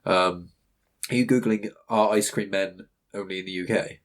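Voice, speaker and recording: A man's voice: sits low, steady tone, normal speed. A British man hosting a podcast, chatting casually in a rambling, conversational way. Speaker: podcast host.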